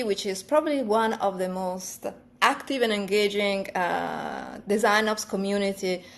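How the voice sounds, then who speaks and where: A woman hosting a conference session, introducing the next speaker in a friendly, filler-heavy way. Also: mid-range voice. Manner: unhurried, friendly, with many fillers.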